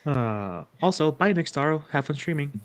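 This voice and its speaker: A man hosting a casual livestream chat, speaking haltingly and repeating words as he goes. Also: fairly high pitch, expressive. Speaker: livestream host reading out viewer donations.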